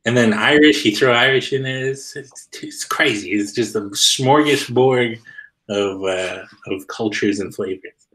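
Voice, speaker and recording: A man's voice: mid-range voice, unhurried, sharp tone, poor audio. A man in casual video call chat as co-host, easygoing and loose in his phrasing, often laughing. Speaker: comic artist and co-host.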